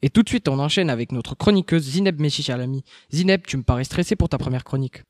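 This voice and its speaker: A man's voice: fairly high pitch, animated, quick pace, darkish tone, dry recording, studio quality. A teenage male student hosting a radio show, politely thanking guests and introducing segments. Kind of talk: radio presenting.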